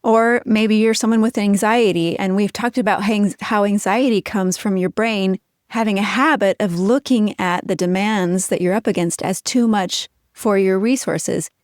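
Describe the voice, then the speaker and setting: A middle-aged woman hosts a podcast and talks her listeners through ideas in a clear, measured teaching tone. Her voice sits at an ordinary middle pitch and is recorded dry and close, with no room sound around it. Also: no room sound. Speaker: podcast host. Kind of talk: explanatory podcast talk.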